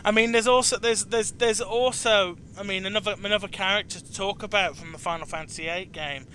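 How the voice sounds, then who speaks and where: A man with a notably high, bright voice hosts a casual video game chat. He speaks haltingly, doubling back on words, over a rumbling background noise. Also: steady tone. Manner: halting, repeats words as he searches for phrasing. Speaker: chat show host.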